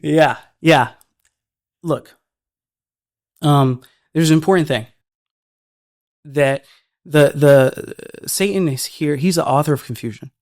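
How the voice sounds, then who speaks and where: A man's voice: fairly high pitch, slow, long pauses, darkish tone, no echo, studio quality. A man who is a filmmaker, talking earnestly as a podcast guest and often restarting his words. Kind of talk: casual podcast conversation.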